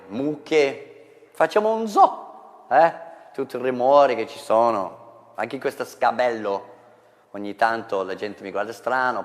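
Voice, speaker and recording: Lively man teaching English. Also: fairly low pitch. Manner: animated, jumps between English and Italian. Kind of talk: comic English lesson.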